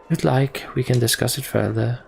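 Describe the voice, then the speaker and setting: A man playing a candid, disillusioned young prince in a fantasy game, speaking in a measured, reflective way. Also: medium pitch, steady tone, creaky, darkish tone, slight background noise, lightly acted. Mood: earnest. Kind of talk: voiced game dialogue reading.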